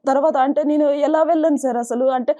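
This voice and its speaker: A woman's voice: high-pitched, steady tone, fast, warm tone, highs cut off. A young woman, deferentially giving a personal account as a guest on a counselling talk show. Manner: deferential, often saying sir. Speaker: guest telling of marital troubles.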